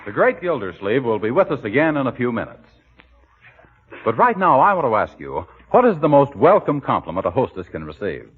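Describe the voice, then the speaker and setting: A man's voice: mid-range voice, very animated, brisk, a little hiss or hum. A man with an American accent announcing and selling in scripted 1940s radio style, polished and emphatic. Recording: tinny, band-limited 1940s radio recording.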